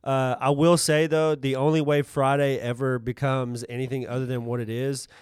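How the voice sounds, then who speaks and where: A man's voice: mid-range voice, little pitch movement, normal speed, darkish tone, smooth, no echo, studio quality. A man co-hosting a casual podcast, talking in a rambling way and rephrasing himself as he goes. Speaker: podcast co-host.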